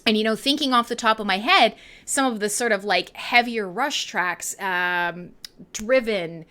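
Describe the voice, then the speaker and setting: A Canadian woman hosting a live radio show with casual, irreverent chat, animated and slangy with some swearing. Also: medium pitch.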